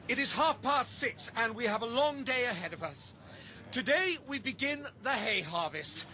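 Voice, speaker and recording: An actor playing a zealous revolutionary pig in a British radio drama, declaiming and rallying with a British accent. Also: male voice, extremely high pitch, sharp tone, a little hiss or hum, exaggerated voice. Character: zealous male farm pig, a fiery revolutionary orator.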